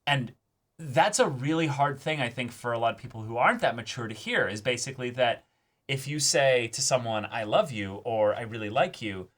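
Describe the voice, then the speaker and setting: A man's voice: medium pitch, lively intonation, moderate pace, a bit bright. A man co-hosting an anime reaction show, talking through his thoughts in a casual, emphatic, analytical way.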